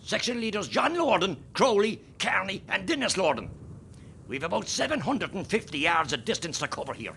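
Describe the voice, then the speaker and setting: An actor with an Irish accent playing an impatient, forceful rebel column commander giving orders in a radio play. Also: male voice, sits high, very animated, normal speed, creaky, a little hiss or hum, full character voice. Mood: forceful, urgent. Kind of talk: radio play acting, shouted military orders.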